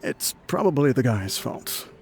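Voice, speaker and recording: An actor playing a boastful, ailing, aging mercenary chief in a video game, narrating a letter in a wry, jovial, reminiscing way. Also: male voice, medium pitch, sing-song, unhurried, darkish tone, soft background music. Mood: wry, fond.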